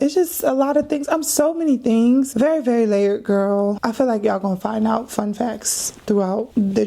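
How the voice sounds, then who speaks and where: A woman's voice: medium pitch, gravelly, mellow. A woman in her thirties from Trinidad, chatting casually to camera in a get-to-know-me Q&A, laughing often and dropping slangy asides. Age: 30s. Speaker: vlogger.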